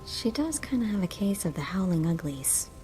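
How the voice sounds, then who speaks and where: Casting: flippant female companion for a game, lowish voice, casual wisecracks, music behind. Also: very animated, moderate pace, low-quality recording. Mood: wry. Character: wry, flippant female sidekick of a bounty hunter. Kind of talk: video game voice acting.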